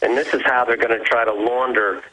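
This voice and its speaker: A man guest on a radio show explaining pension finance, halting at first with many ums, then fluent. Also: mid-range voice, steady tone, bright, forceful tone, poor audio. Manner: hesitant, many fillers and restarts, then fluent. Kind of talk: explanatory interview talk.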